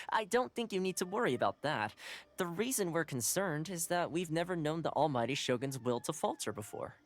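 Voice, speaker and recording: Calm, lively young envoy. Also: male voice, a little high, moderate pace. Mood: concerned. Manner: calm, reassuring. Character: young male envoy and retainer, calm and reassuring. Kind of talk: game cutscene dialogue.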